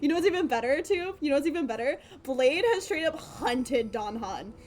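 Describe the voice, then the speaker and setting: A woman's voice: high-pitched, lively intonation, a little fast, a little mellow. A young woman livestreamer giving casual game commentary, rambling in slang with swearing and a giggly manner.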